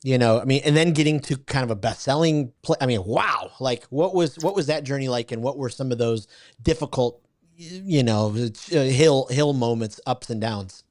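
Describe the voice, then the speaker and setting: A man's voice: mid-range voice, normal speed, darkish tone. A man hosting a podcast, reacting with amazement and stumbling through his questions. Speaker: podcast host. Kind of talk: casual interview conversation.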